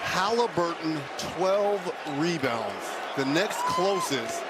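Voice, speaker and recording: A man analyses basketball live in a fairly high voice. The arena is very noisy, with a huge crowd and court sounds behind him. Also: big pitch swings, slow. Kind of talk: live sports commentary.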